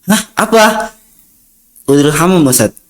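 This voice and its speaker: A man's voice: fairly high pitch, expressive, a little slow. Chatty young Indonesian man. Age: young adult. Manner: rambling with many fillers, acts out dialogue. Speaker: interview guest, student. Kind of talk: casual storytelling interview.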